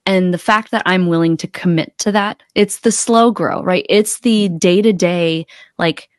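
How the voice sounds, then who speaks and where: A woman's voice: on the low side, a little slow, no echo. A woman speaking as a podcast guest and coach, earnest and reflective in a casual conversation, close to a desktop mic. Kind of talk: casual interview chat. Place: indoor home room on a video call.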